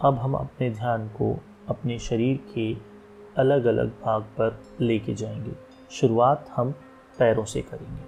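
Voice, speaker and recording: A man leading a guided relaxation meditation as an instructor, giving calm, unhurried instructions with long pauses. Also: mid-range voice, vocal fry, muffled recording. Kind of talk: guided yoga nidra meditation, slow soothing instructions. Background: meditation music.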